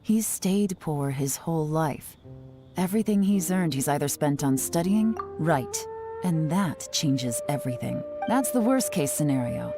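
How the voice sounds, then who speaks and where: Shrewd female investigator for a video game, low register, analytical and composed, music underneath. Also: expressive. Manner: measured, analytical, knowing.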